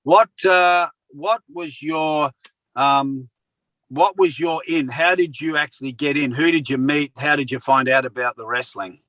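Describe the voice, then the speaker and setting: A man's voice: a little high, measured pace, bright, forceful tone, no room sound, rough recording. An older Australian man, a former wrestler and actor, co-hosting a casual interview with a chatty, joking manner. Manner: chatty, jokey, trails off searching for names.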